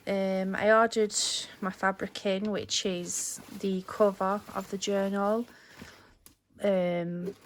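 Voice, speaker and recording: A woman giving a casual, unscripted show-and-tell in a chatty, halting way, her voice slightly strained as if starting to go. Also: medium pitch, a little hiss or hum. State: slightly losing her voice, clearing throat. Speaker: crafter presenting her handmade journal.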